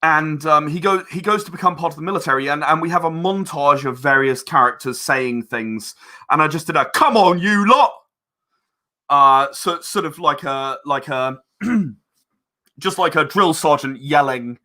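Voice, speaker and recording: Halting man with a bright, higher voice. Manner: halting, rambling. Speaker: interview guest, audio drama producer. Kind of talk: casual podcast interview.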